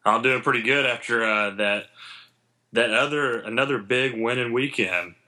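A man's voice: medium pitch, normal speed, bright, forceful tone. A man co-hosting a betting podcast, bantering in a joking, boastful way. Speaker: podcast co-host.

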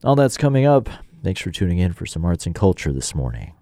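A man hosting an arts radio show, speaking in a measured, articulate way as he reads scripted narration and asks interview questions. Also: deep voice, big pitch swings.

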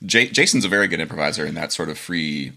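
A man's voice: extremely low pitch, quick pace, creaky. A man in his thirties hosting a podcast, telling stories animatedly, laughing often and acting out what people said. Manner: animated storyteller, laughs often, acts out quotes. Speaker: podcast host. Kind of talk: casual interview chat.

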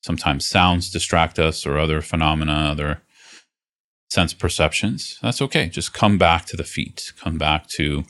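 A man leading a guided meditation as a teacher, speaking in an unhurried, reassuring way with phrases broken by pauses. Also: a bass voice, fairly bright tone. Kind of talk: guided meditation, gently instructive.

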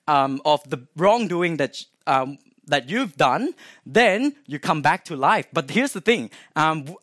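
A man's voice: on the high side, fairly bright tone, dry recording, clean studio sound. A man in his twenties from Thailand with a Thai accent, sharing a personal faith testimony with an audience in a chatty, informal way, full of "um"s and restarts. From Thailand (Chiang Mai). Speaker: guest speaker sharing testimony.

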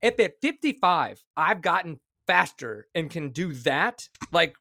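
An excitable man in his forties, talking emphatically as a podcast co-host. His voice is high for a man and bright, with a sharp edge. It is recorded close and dry. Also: measured pace, vocal fry, no room sound. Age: 40s. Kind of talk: casual podcast chat.

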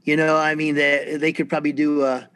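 Squeaky-voiced rambling male musician. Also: little pitch movement, a little fast, fairly bright tone. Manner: rambling, self-deprecating, chuckles often. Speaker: freelance percussionist guest. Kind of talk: casual interview chat.

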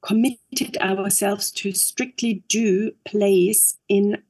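A woman's voice: medium pitch, fairly even intonation, extremely slow, darkish tone, dry recording. Thoughtful Austrian woman, dry sound. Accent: Austrian German. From Upper Austria. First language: German. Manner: thoughtful, hesitant, many fillers and restarts. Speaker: actress and director, interview guest. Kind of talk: reflective interview talk.

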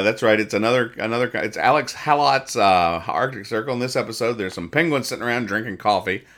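Low-voiced man rambling through comic strips. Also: fairly bright tone. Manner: rambling, halting, mock-explaining jokes. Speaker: podcast host reading newspaper comics. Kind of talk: casual comedic commentary, describing comic strips aloud.

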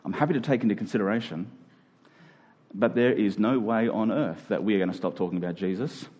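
A man's voice: fairly low pitch, fairly quick, darkish tone, muffled recording. A man preaching a conversational sermon to a congregation, in colloquial language with emphatic repeated phrases. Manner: colloquial, dramatizing imagined exchanges.

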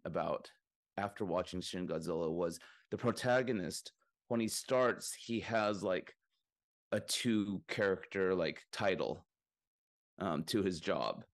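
A man co-hosting a podcast, chatting casually about films in a rambling way with many fillers and restarts. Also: sits low. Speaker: podcast co-host.

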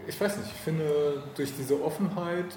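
A man's voice: fairly high pitch, normal speed. A man in a casual political conversation as interviewer, thinking aloud in a rambling way. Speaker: podcast host and interviewer. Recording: roomy echo, clear background noise.

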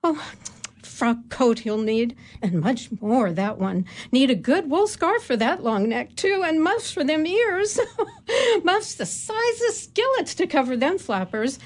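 A woman's voice: high-pitched, very animated, a little slow. A woman playing a chatty village tailor's wife who rambles on in homespun country speech. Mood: amused. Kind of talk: radio drama acting.

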